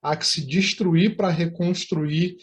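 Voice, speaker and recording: A man's voice: on the high side, steady tone, takes it slowly, husky. A man with a Brazilian accent hosting an interview in formal, florid phrasing. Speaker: interview host.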